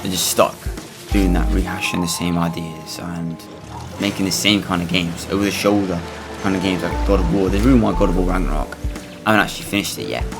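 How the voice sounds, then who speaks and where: Low, creaky-voiced man rambling over gameplay. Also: steady tone, music in the background. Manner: rambling, halting, blunt with swearing. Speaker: gaming video host. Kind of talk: casual gameplay commentary.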